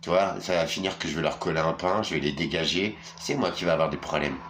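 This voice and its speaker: A man's voice: a bass voice, fast talker, a bit bright, a little hiss or hum. A tired middle-aged man from France, ranting casually to camera, crude and rambling with many fillers and restarts. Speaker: vlogger.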